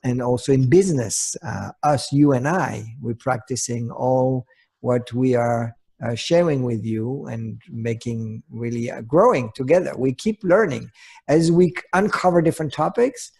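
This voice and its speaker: A man with a Swiss accent speaks in a creaky, husky voice at an average pitch. He presents conversationally as a coaching co-host, picking his words haltingly. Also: a little mellow. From Switzerland. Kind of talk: semi-prepared conversational presentation.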